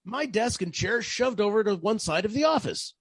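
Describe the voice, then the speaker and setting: A man's voice: high-pitched, animated, moderate pace, dry recording, voice pushed up. A man playing an irritable, wisecracking private detective with sardonic, theatrical delivery in the style of 1940s radio. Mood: irritated, bossy. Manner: sardonic, theatrical, hard-boiled.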